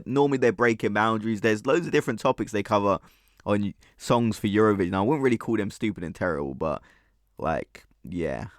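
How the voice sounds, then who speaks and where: Low-voiced British man, chatting off the cuff. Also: dry recording, studio quality. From United Kingdom. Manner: chatty, opinionated, rambling. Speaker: reaction video commentator. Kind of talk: casual off-the-cuff commentary.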